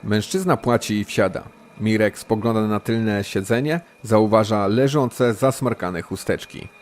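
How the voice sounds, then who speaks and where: A man's voice: on the low side, measured pace. A man reading scripted crime drama narration in short, matter-of-fact sentences.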